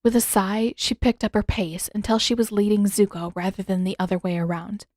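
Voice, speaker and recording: A woman narrates a fantasy story in scripted audiobook style. Her pitch is average for a woman. The recording is dry, with almost no room sound. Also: flat delivery, brisk, a little mellow, no echo. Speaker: fan fiction audiobook narrator.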